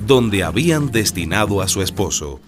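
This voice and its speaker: An actor narrating a historical radio drama, as a storyteller of revolution. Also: male voice, fairly low pitch, big pitch swings, normal speed. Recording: music bed under the voice.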